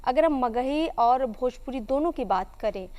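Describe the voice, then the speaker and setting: A woman's voice: high voice, expressive, measured pace, mellow. A woman hosting a morning television show, presenting to viewers and interviewing a guest.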